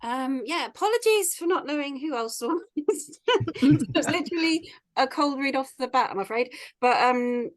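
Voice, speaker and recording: A woman's voice: high-pitched, lively intonation, moderate pace. A woman giving casual feedback on a reading panel in a hesitant, apologetic manner.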